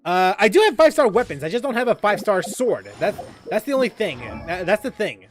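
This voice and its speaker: A man's voice: high voice, big pitch swings, brisk. A man casually chatting while streaming a game, rambling with lots of fillers and restarts as he reads chat aloud.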